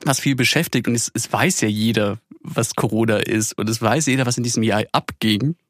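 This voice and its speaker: A man's voice: medium pitch, fairly quick, no room sound, clean studio sound. A young man in his twenties co-hosting a podcast in casual chat, playful and joking, who grew up bilingual. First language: bilingual upbringing. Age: 20s. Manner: playful, jokey, sings silly bits. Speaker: podcast co-host.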